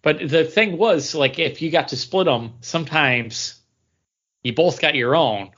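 A man with a slightly high voice chats casually as a podcast co-host. He rambles playfully from point to point. The audio is a bit dull and lo-fi. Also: measured pace, fairly bright tone. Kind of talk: casual chat.

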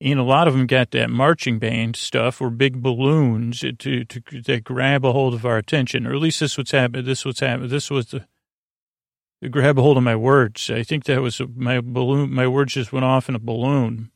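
Gravelly man rambling softly through a bedtime monologue. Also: mid-range voice, fairly even intonation, dry recording, studio quality. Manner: rambling, halting, frequent tangents and self-corrections; soothing, lulling delivery. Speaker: podcast host. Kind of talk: meandering sleep podcast monologue.

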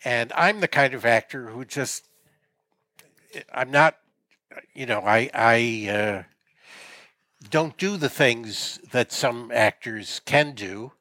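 An older male actor reflects in an interview, speaking at an unhurried pace. He is hesitant and searches for words as he goes. His voice sits at a middle pitch and the recording is dry, with no room sound. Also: bright, forceful tone, no room sound.

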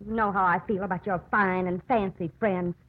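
An actress playing a sour, nagging small-town wife with curt, folksy speech in a 1950s radio drama. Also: female voice, mid-range voice, steady tone, a little fast, close to an everyday voice. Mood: disapproving. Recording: noisy narrow-band old radio broadcast.

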